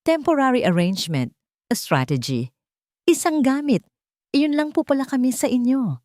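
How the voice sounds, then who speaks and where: A woman's voice: sits high, very animated, moderate pace, a little mellow, dry recording, studio quality, pitched above the performer's own voice. A woman voicing a hurt, humble young woman in a romance story, polite and restrained. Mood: hurt, cold.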